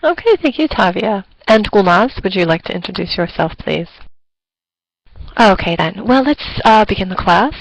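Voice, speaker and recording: A woman's voice: mid-range voice, gravelly, no echo, low-quality recording. A woman teaching an online English class, politely calling on each student to speak. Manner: polite, measured, repeats prompts.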